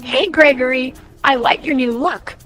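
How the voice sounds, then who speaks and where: A woman's voice: high voice, measured pace, fairly bright tone, faint music behind. A woman voicing a perky, cheeky animatronic girl character in a cartoon comedy skit. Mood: perky, teasing.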